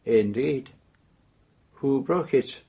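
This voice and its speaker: A shrewd detective in a radio drama. He is formal and probing, with a medium-pitched, slightly husky voice. He speaks deliberately and will not bend. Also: lively intonation, dark tone, heavily acted. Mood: stern, probing. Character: shrewd, unbending male detective questioning suspects.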